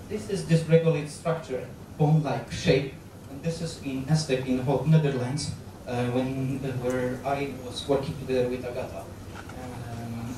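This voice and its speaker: A man in his thirties with a Polish accent, giving a public lecture on stage into a handheld mic, halting often with fillers and restarts. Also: mid-range voice, background noise. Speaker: guest lecturer on astronaut training. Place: large lecture hall, on stage. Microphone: handheld microphone. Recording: very echoey hall, captured from far off.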